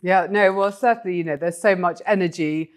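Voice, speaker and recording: A woman's voice: lowish voice, a little fast. A woman hosting an interview, asking encouraging, enthusiastic questions. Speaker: host.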